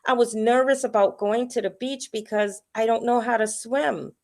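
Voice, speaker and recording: A woman's voice: fairly high pitch, steady tone, normal speed, darkish tone, close to an everyday voice. A woman voicing an anxious but caring young llama boy in a picture book, earnest and hesitant.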